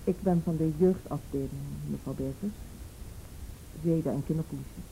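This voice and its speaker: An actress playing a calm, insistent juvenile police officer in a radio drama, speaking formally and with measured persistence. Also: female voice, creaky, soft background music. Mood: grave, measured. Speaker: actor in a radio play. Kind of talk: Dutch radio drama acting. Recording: rumbly, noisy old radio recording.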